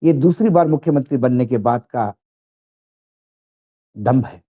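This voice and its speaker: A man's voice: mid-range voice, expressive, measured pace, lo-fi sound. A man presenting political commentary to viewers in an emphatic, rhetorical way.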